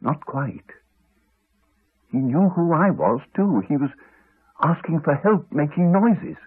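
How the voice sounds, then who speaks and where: A haunted man in an eighties radio play speaks through a narrow, phone-like recording. His voice is very husky, and his pitch moves a great deal as he haltingly confides, trailing off into pauses. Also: lively intonation, heavily acted. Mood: haunted, hushed. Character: Alex, haunted man haltingly recounting a horror. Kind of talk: 1980s radio drama acting.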